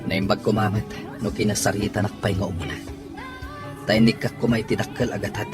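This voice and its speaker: Man playing troubled husband in a radio soap, lowish voice, music clearly underneath. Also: flat delivery, fairly quick, close to an everyday voice. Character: troubled husband and father, master of the house. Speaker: voice actor in an audio drama. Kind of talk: melodramatic radio drama acting.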